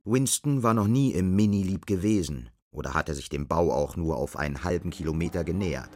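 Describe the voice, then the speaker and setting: An actor playing a sober storyteller, narrating a bleak dystopian radio drama in a measured, matter-of-fact manner. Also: male voice, low voice, animated, a little mellow, slight background noise.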